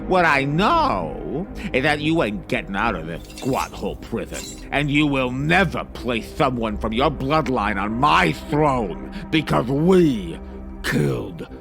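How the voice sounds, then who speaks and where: A man's voice: medium pitch, big pitch swings, slow, creaky, music in the background, heavily acted. Bratty, gloating villain king, wildly swooping. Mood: spiteful, boastful. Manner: bratty, theatrical, mocking. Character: gloating, childish, petulant villain king, taunting his prisoner. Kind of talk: sci-fi fantasy audio drama acting.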